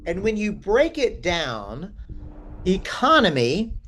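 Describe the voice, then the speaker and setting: A man's voice: high voice, wildly swooping pitch, takes it slowly, fairly bright tone, slight background noise. A man leading a participatory online workshop over a video call, inviting people in and thinking aloud with fillers. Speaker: workshop facilitator.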